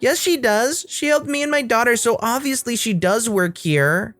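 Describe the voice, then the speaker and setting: A man voicing a shrill, demanding, entitled middle-aged woman customer in a storytime reading. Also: animated, moderate pace, a bit bright, no echo. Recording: dry close studio sound, faint music.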